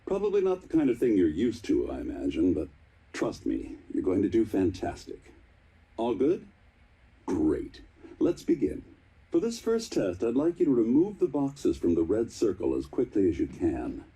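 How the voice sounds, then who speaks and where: Very mellow, husky, animated male test doctor. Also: lowish voice, full character voice. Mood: encouraging. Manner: encouraging, halting, politely apologetic. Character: upbeat, fussing scientist running tests on a subject. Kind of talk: video game voice acting.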